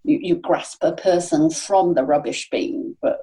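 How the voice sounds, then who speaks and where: A woman guest on a podcast, speaking English. She talks very slowly and thoughtfully, pausing on uhs. Her voice is low and warm. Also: low-quality recording. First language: Chinese. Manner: thoughtful, hesitant with many uhs. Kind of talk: casual interview chat.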